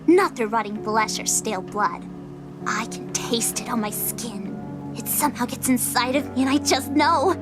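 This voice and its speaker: A woman's voice: fairly high pitch, normal speed, lightly acted. A woman playing a confident, sly goddess of death in an audio drama, talking in a casual, self-assured, teasing way. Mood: knowing, intense. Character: confident, sly female death goddess who foresees kills. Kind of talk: scripted audio drama acting. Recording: music under the voice. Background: music.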